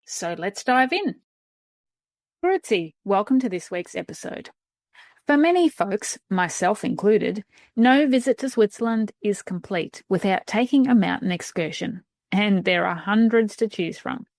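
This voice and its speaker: A woman's voice: medium pitch, expressive, unhurried, darkish tone, no room sound, studio quality. A woman hosting a travel podcast, reading a scripted intro and then chatting with a guest. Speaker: podcast host.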